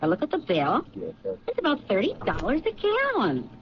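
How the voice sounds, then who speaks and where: A woman's voice: high-pitched, huge pitch swings, normal speed, background noise. An actress playing a newly married policewoman in a 1970s radio drama, telling her story in a hesitant, apologetic way. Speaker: police officer. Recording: dull lo-fi 1970s radio recording.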